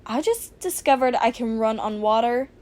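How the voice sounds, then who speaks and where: A woman's voice: on the high side, expressive, normal speed, darkish tone, close to an everyday voice. A woman voicing an earnest, panicky male speedster hero in a fan fiction reading. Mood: flustered.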